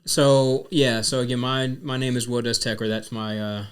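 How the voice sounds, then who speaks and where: A man's voice: mid-range voice, fairly even intonation, moderate pace, clean tone. A man in his forties from Virginia, co-hosting a podcast in laid-back casual chat with many fillers. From Virginia, Tidewater area. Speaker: podcast co-host.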